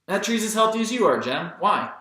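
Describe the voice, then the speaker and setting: Guy doing calm middle aged dad, measured n reassuring, clear bright tone, big echo. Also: sits high, voice pushed up, exaggerated voice. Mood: reasonable. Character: Atticus, calm, reasonable Southern father, middle-aged man. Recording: very echoey room.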